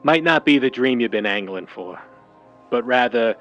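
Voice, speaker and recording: An actor playing a slick, devoted old-time talent agent with slangy, careful patter, in a vintage radio drama style. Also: male voice, medium pitch, lively intonation, normal speed, fairly bright tone, lo-fi sound, faint music behind, lightly acted. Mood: grave, uneasy.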